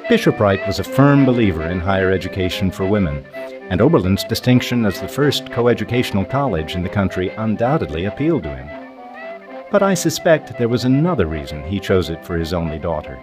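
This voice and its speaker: A man's voice: low voice, very animated, fairly quick. A man reading scripted documentary narration. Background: music.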